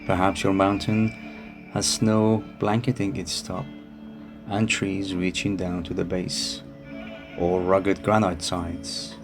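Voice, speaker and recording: A man narrating a guided meditation in a calm, soothing manner with unhurried phrasing. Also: low-pitched. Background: music behind the voice.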